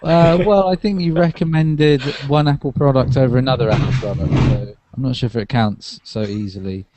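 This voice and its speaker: Mellow-voiced man chatting about tech. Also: medium pitch, normal speed. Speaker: podcast co-host. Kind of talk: casual tech chat.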